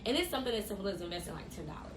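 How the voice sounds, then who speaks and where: A woman's voice: mid-range voice, rapid-fire. A woman co-hosting a podcast in chatty casual talk, sounding nasal and congested from a sinus infection. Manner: chatty and animated. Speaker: podcast co-host. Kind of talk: casual chat. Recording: echoey room, noisy.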